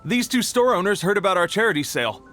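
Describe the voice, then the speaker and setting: A man's voice: sits high, animated, fast talker, sharp tone, faint music behind. A man voicing an eager, friendly young helper in a video game. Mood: excited. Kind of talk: animated video game acting.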